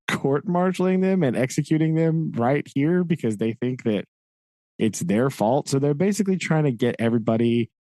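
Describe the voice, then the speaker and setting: A man's voice: mid-range voice, a little mellow, no room sound. A man co-hosting a podcast, casually retelling a novel's events with frequent "right?" checks and occasional laughs. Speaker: podcast co-host. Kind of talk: casual book discussion.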